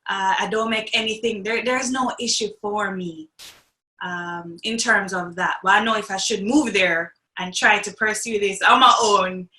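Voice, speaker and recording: A woman's voice: mid-range voice, steady tone, normal speed, sharp tone. A young adult woman with a Jamaican accent, talking animatedly as a guest in an interview and laughing often. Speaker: actress, guest. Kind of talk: interview conversation. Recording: echoey room.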